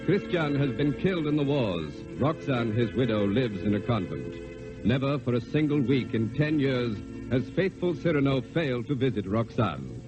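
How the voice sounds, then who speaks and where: A man narrating a scripted old-time radio drama in a formal, deliberate, dramatic way. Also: medium pitch, sing-song. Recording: narrow old radio recording. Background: music.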